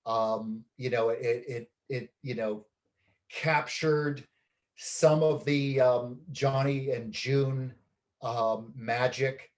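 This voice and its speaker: Measured man with lots of fillers. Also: medium pitch, fairly even intonation. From Canada. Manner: measured, many fillers and hedging pauses. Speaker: guest, documentary film director. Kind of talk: reflective interview answers.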